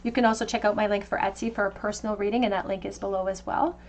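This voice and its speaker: A woman reading tarot cards for her viewers, talking in a gentle, conversational, intuitive way. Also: medium pitch, steady tone, quick pace, slight background noise. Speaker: tarot reader. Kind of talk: tarot card reading to camera.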